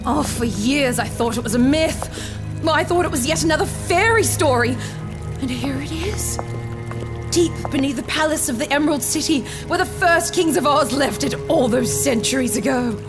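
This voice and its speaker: A woman's voice: high-pitched, animated, moderate pace, over music. An actress playing a bitter, vengeful female rebel leader in a fantasy audio drama, theatrical, taunting and sardonic. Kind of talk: full-cast fantasy audio drama acting.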